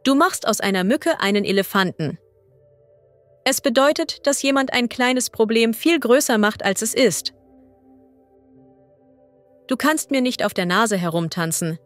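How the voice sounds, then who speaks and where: A woman as a language teacher reading idioms and vocabulary slowly and clearly. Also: on the high side, animated, dry recording, faint music behind. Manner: slow, clear and deliberate.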